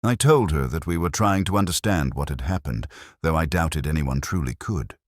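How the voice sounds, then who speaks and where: A man plays a melancholy police inspector telling his own mystery story. The voice is extremely low and husky, with lively shifts of pitch. The delivery is measured, literary and brooding, as in a radio detective drama. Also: no room sound, studio quality. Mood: pensive. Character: weary, melancholy male English police inspector narrating his case. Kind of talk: scripted first-person mystery narration.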